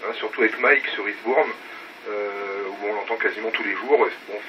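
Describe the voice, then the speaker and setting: A man's voice: on the low side, fairly even intonation, moderate pace. A man chatting casually as a radio operator, enthusiastic and repeating words for emphasis. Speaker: amateur radio operator filming his station. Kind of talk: casual radio contact chat. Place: at home beside radio set. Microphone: phone filming, off the mouth. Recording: dull, very noisy phone video.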